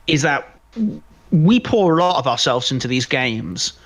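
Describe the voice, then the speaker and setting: A man's voice: mid-range voice, animated, a little slow, a bit bright. A man co-hosting a podcast, giving opinionated, emphatic film commentary in casual chat, often repeating phrases for stress. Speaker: podcast co-host. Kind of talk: casual film review discussion.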